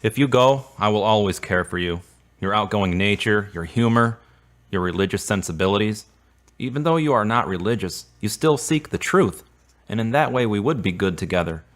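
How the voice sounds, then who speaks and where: Man acting earnest, low-voiced devoted friend. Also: expressive, normal speed, close to an everyday voice. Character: concerned, devoted, religious male friend. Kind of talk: radio drama acting.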